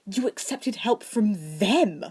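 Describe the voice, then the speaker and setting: A haughty young schoolboy, played by a woman narrator, pitched a little above the storytelling voice. He is dramatic and suspicious, stressing his words emphatically in a storybook reading. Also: lively intonation, slow, darkish tone, slightly rough. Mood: indignant. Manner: emphatic, indignant.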